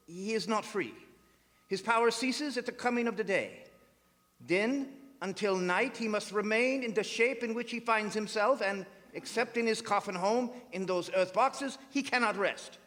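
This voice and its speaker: A grave professor and leader of vampire hunters, played by a man in old-time radio style. The voice is strikingly high for a man, with solemn, authoritative phrasing. It carries a long hall reverb from a live stage. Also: fairly bright tone. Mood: solemn warning. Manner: solemn, deliberate, oratorical.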